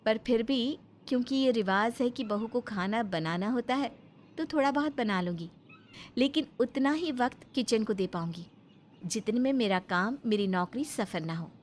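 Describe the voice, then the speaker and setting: A woman playing an assertive, ambitious young daughter in an animated moral story. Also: a little high, moderate pace, a little hiss or hum, lightly acted. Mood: firm, assertive.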